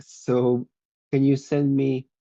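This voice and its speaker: A man hosting a casual podcast chat over a video call as a language teacher, unhurried and laughing often. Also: mid-range voice, flat delivery, warm tone, no echo, dull audio. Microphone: video call microphone.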